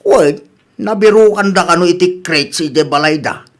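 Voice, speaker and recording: A man's voice: sits high, normal speed, lightly acted. Older village father, acted by a man. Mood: concerned. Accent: Ilocano Filipino. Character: older village father counselling his grown daughter. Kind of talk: Ilocano radio comedy drama acting.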